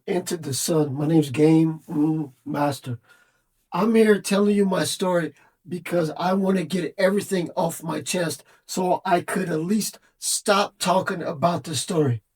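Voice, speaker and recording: A man's voice: high voice, a little mellow, slightly rough. A man in a rambling, earnest monologue, presenting his own numbers theory and reading definitions aloud. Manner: meandering, reads dictionary definitions aloud, casual and earnest.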